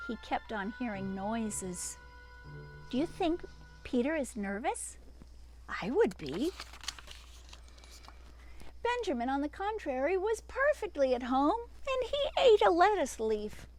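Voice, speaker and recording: A woman's voice: high-pitched, sing-song, slow pace, pauses often, a little mellow, soft background music. A woman reading a children's storybook aloud expressively, breaking off for chatty asides to young listeners.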